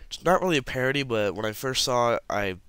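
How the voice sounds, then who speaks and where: A man's voice: mid-range voice, animated, quick pace, a bit bright. A man with the flu, sounding congested, casually talking through a puzzle tutorial over a screen recording. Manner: casual, walks through steps while clicking. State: has the flu, congested and sniffling.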